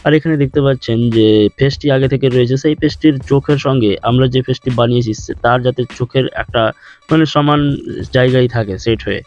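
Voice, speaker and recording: Fast-talking man giving a tutorial. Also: medium pitch, steady tone, darkish tone. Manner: instructional, repeats each step. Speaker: tutorial host. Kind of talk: step-by-step software tutorial narration. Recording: dull lo-fi voice track with music. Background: background music.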